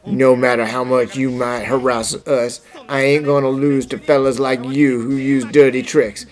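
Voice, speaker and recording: A man playing an earnest, stubborn farmer in an animated fan dub, with a folksy, halting delivery. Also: medium pitch, soft background music, natural voice. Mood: defiant. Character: plucky, stammering farmer Minton, stubborn and earnest.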